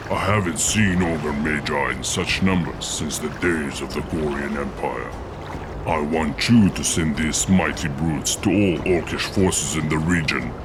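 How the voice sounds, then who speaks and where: A man playing a stern, commanding orc war chief in a fantasy game, speaking with measured authority. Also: very deep voice, background noise. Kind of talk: fantasy game cutscene acting.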